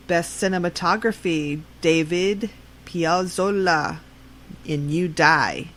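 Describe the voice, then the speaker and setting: A woman's voice: medium pitch, fairly bright tone, slight background noise, rough recording. A woman podcast host reading out scripted news and announcements in a segment format.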